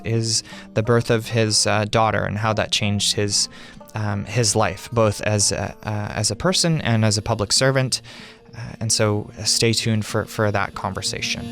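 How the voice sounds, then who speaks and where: A man's voice: fairly low pitch, fairly even intonation, normal speed, a bit bright, faint music behind. A man hosting an interview, asking questions in a hesitant way full of fillers and restarts. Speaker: interviewer, podcast host. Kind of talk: casual interview chat.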